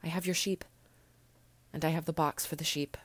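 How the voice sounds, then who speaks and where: A woman reading an audiobook, voicing a gentle, grave little boy prince who speaks softly and often in a whisper. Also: deep voice, fairly even intonation, normal speed, dry recording. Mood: wistful. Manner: soft, wistful, often whispered.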